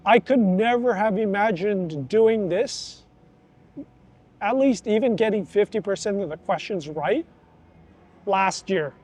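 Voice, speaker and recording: A middle-aged man talking casually to the camera in a vlog, chatty and animated, recorded outdoors near a festival. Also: sits high. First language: English. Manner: chatty, animated, acts out quoted voices. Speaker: vlogger, student of Thai.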